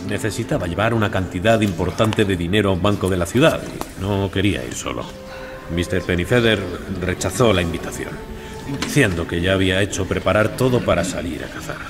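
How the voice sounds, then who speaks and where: Courteous low-voiced gentleman. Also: music in the background. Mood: reluctant, solemn. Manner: measured, courteous, solemn. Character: mild, pious-sounding gentleman, outwardly reluctant witness. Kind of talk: audio drama acting.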